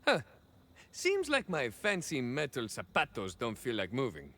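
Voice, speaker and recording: Video game hero: swaggering male cyborg soldier, Mexican-American accent, very animated melody, hesitant rambling asides peppered with Spanish. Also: medium pitch, unhurried, heavily acted. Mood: flustered. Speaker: playable game character. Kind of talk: video game idle monologue acting.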